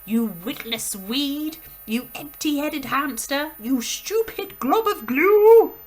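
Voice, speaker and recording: A woman voicing a huge, brutal headmistress who bellows her orders slowly and with heavy emphasis. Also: mid-range voice, steady tone, fairly bright tone, breathy edge. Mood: bellowing. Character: huge, brutal female headmistress, bullying and thunderous.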